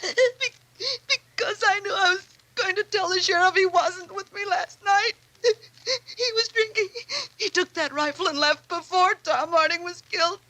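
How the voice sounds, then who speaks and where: An actress playing a distraught, scheming wife in a melodramatic 1940s radio drama, with a shrill voice. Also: female voice, normal speed, bright, forceful tone, poor audio. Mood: sobbing, hysterical. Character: distraught, scheming wife feigning innocence after killing husband.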